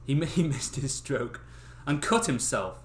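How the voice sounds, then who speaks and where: A young man reading stories aloud on a livestream in a casual, expressive way, swearing and breaking off with joking asides. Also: medium pitch, measured pace. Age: young adult. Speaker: livestream host reading fairy tales aloud. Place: small bedroom. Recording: roomy echo of a small room.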